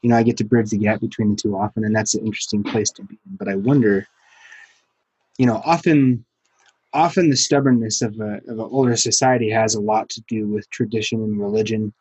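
A man's voice: on the low side, fairly even intonation, brisk, darkish tone, husky, no echo, rough recording. A man interviewing a guest, asking reflective, musing questions. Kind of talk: conversational interview.

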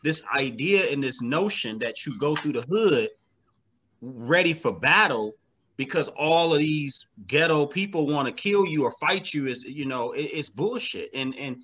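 A man's voice: fairly high pitch, expressive, a little slow, fairly bright tone, breathy edge. A man speaking as an interview guest in a casual, reflective way, emphatically repeating phrases to build his points. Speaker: interview guest, comic book writer.

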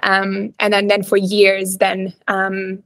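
A woman in her twenties from Latvia with a Latvian accent, a podcast guest telling her faith story reflectively, with many pauses and ums. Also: medium pitch, completely flat delivery, a bit bright, no echo. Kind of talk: personal faith story in an interview.

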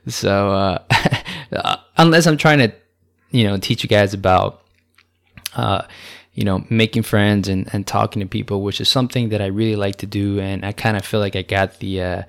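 A young man with a low, gravelly voice hosts his own podcast and talks through his thoughts alone. His delivery is calm and easygoing. He rambles off on little rants, full of fillers and restarts. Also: normal speed. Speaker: podcast host. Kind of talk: casual solo podcast monologue.

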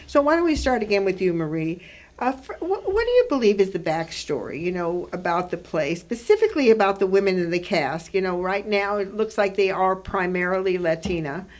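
A woman hosting a conversational radio interview in a studio, effusive and repeating words for emphasis. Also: mid-range voice.

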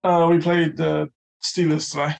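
A man co-hosting a casual sports podcast, chatty and quick to laugh. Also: a little high, level tone, vocal fry, a little mellow. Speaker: podcast co-host.

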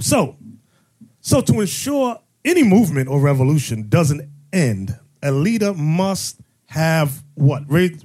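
A man's voice: on the high side, a little mellow, husky. A man teaching a congregation as bishop, preaching with call-and-response questions and sudden emphatic bursts. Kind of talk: sermon-style teaching.

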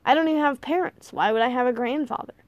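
A woman's voice: high voice, quick pace, no room sound, highs cut off, lightly acted. A woman voicing a skeptical, bewildered thirteen-year-old boy in an audiobook, with an incredulous, questioning manner. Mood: puzzled. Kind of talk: audiobook character voice.

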